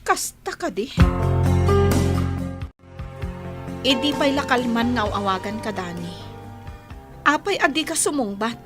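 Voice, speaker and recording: A woman's voice: fairly high pitch, lively intonation, normal speed, loud music behind the voice, natural voice. An actress playing an affectionate, trusting young working woman abroad in a radio drama, speaking tenderly and expressively. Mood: doubtful, pleading.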